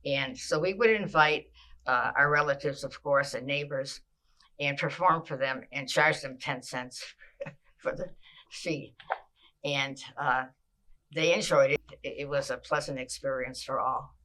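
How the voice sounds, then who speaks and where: An older woman from Massachusetts, recalling her childhood in an oral history interview, with a measured pace and frequent pauses. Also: very deep voice, sharp tone, low-quality recording.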